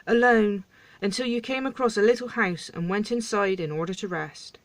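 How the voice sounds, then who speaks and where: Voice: medium pitch, expressive, brisk, no echo, natural voice. Storyteller acting pompous, scandalised talk-show king. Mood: pompous, probing. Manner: theatrical, scandalised, emphatic.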